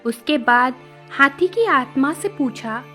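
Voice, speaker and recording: Woman reading a moral story, high voice, takes it slow, soft music under. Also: lively intonation. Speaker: narrator. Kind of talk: scripted moral story narration.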